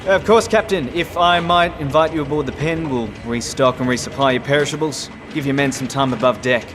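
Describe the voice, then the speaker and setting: A man's voice: mid-range voice, brisk, background noise, lightly acted. An Australian-accented man playing a courteous, grave navy commander in an audio drama, polite and plain-spoken. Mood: courteous, formal.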